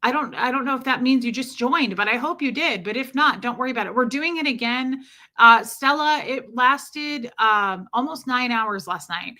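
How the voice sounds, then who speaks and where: Female streamer, bright and forward tone, chatty and warm, lots of filler words. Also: on the high side, moderate pace. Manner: chatty and warm toward viewers, many fillers. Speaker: livestream host. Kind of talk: casual livestream chat, answering viewer comments.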